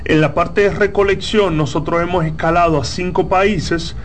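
A Dominican man speaks in a slightly raised register, explaining things step by step with many "eh" pauses. A faint hiss sits under his voice. He sounds like a founder answering an interviewer. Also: high voice, steady tone. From Dominican Republic. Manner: measured, explanatory, frequent "eh" fillers. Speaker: company founder, guest.

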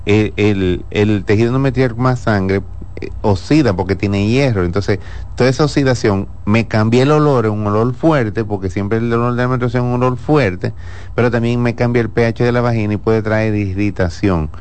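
Male gynecologist, radio guest; low-pitched, patient and explanatory, laughs easily. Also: normal speed, a little mellow, smooth. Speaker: gynecologist answering listeners. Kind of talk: radio health talk show.

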